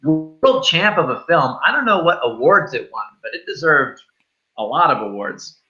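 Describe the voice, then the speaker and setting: A man's voice: a little high, sing-song, normal speed, bright, forceful tone, muffled recording, faint music behind. A man hosting a casual movie review chat, speaking informally and jokily with the odd swear word. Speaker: film review show host.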